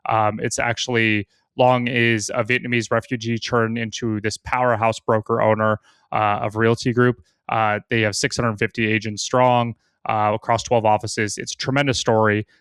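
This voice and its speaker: A man giving a loose, casual introduction to a podcast rerun, filling pauses with "uh" and "um". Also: lowish voice, flat delivery, a bit bright, smooth, dry recording, studio quality. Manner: loose, full of fillers like "uh" and "um". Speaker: marketing director introducing a rerun.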